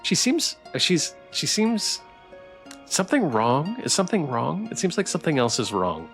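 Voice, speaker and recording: A man's voice: a little high, huge pitch swings, normal speed, a bit bright. Male game streamer over background music. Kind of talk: casual live-stream chat mixed with reading visual novel narration aloud.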